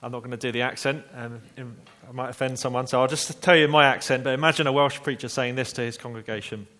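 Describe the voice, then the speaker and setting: A man preaching a sermon, telling a story earnestly and slowing down quietly for emphasis. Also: medium pitch, steady tone.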